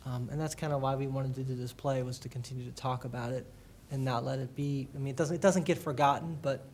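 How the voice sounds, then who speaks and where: A man from a theatre company, speaking reflectively to an audience, with many restarts and halting phrases. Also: medium pitch, steady tone, a little mellow, a little hiss or hum. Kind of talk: casual talk to an audience. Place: public library meeting room.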